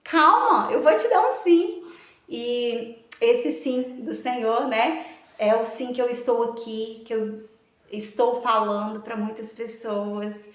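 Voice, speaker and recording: A woman speaks slowly and earnestly, reflecting on her own story of faith. She pauses often and fills the gaps with small words. Her voice sits a little high and rings in an echoey room. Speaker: motivational speaker. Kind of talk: personal testimony to camera.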